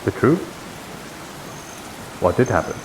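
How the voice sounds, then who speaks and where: A man playing a shrewd, probing member of an abbey in improvised roleplay, speaking in a measured, insinuating, formal way. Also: close to an everyday voice. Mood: coolly threatening. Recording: hissy live stream microphone.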